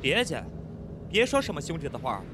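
Male actor as a brash twenty-something teaser, lively swinging intonation, audio drama. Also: fairly high pitch, a little fast, noisy background. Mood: annoyed. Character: cocky, teasing young man, brash and needling.

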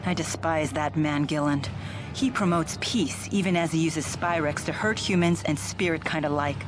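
A woman acting a proud, stern young female spirit lord in a video game dub, speaking in a formal, measured way. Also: low voice, music in the background, lightly acted. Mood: contemptuous.

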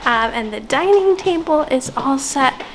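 A woman's voice: sits high, animated, measured pace, slight background noise. A woman in her thirties chatting casually and informally in a home vlog, with a self-deprecating, conversational manner. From South African family background. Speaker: vlogger. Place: inside a house, open dining room and kitchen.

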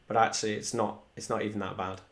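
Young male musician hosting a live stream, rambling and chatty, fairly monotone, desk mic at home. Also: lowish voice, fast talker. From Wales. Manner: chatty, rambling, reads viewer comments aloud. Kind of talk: casual live stream chat. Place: small room indoors at home. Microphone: desk microphone in front.

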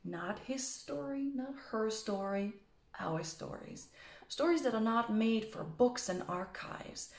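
Swiss woman giving a keynote, gravelly edge. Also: fairly high pitch, animated, a little mellow. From Switzerland. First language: German. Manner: earnest, emphatic delivery with filled pauses. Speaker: keynote speaker. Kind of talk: recorded keynote speech to camera. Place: small room with bookshelf, indoors. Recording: echoey room sound.

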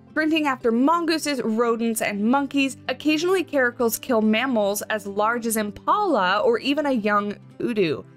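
A young woman speaks in a fairly high voice, slightly off from a lingering cold. She chats with bubbly excitement and reads animal facts in a voice-over. Faint guitar music plays underneath. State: recovering from a cold, voice a bit off. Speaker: game channel host. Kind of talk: casual screenshot commentary.

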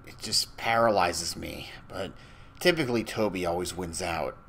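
A man playing an anxious young man in an animated dark comedy, confiding in a wry, hesitant, exasperated manner. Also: sits low, normal speed, creaky, exaggerated voice. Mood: weary confiding. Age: young adult.

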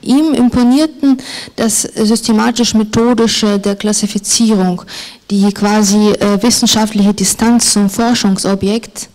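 A woman's voice: mid-range voice, fairly even intonation, measured pace, a little mellow, rough recording. Hesitant woman author on a stage mic. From former Soviet Union. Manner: hesitant, with many fillers and restarts. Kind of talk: stage interview and reading aloud. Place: literary event on a stage, indoors. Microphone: handheld mic.

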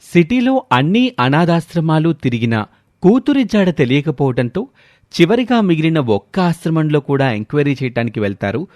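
A man's voice: on the high side, sing-song, normal speed, darkish tone, no room sound. Dry-sounding male storyteller. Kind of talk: scripted story narration.

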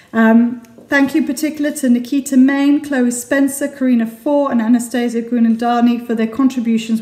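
Woman, thirties, teacher delivering prepared announcements; mellow, higher-pitched voice, articulate and formal. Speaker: school teacher presenting assembly announcements. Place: large indoor hallway, camera at close range. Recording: echoey room.

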